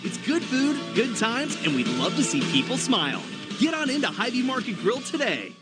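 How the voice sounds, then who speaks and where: A man's voice: very high voice, very animated, moderate pace. A man reading a scripted radio commercial as a voice-over announcer. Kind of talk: scripted restaurant radio ad read. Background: prominent music bed.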